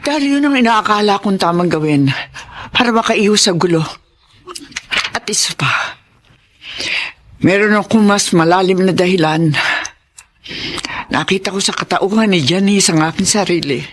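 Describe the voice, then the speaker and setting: A woman with a Filipino accent playing a remorseful, protective middle-aged mother in a radio drama, speaking solemnly and deliberately. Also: medium pitch, natural voice. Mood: solemn, confessional. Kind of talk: melodramatic radio drama acting.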